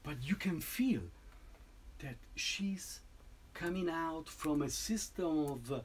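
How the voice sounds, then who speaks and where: Man explaining his piece haltingly. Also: a little high, lively intonation, a little hiss or hum. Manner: halting, many restarts and tag "no?". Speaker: composer presenting a piece. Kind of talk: informal talk to an audience.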